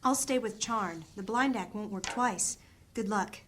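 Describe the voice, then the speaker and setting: A woman's voice: mid-range voice, unhurried, a bit bright, a little hiss or hum, natural voice. A woman playing a practical, wary adventurer in a fantasy audio drama.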